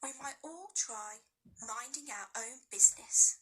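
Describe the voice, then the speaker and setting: A woman voicing an old, dry, patient male professor in a storybook reading, measured and soft. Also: a little high, husky, heavily acted.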